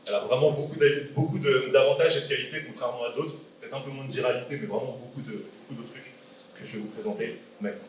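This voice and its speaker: A man's voice: medium pitch, racing, slight background noise. A man in his late twenties giving a casual, joking live talk to an event audience, quizzing the crowd as he goes. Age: 20s. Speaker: guest speaker. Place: large event hall with an audience. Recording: echoey hall from far off.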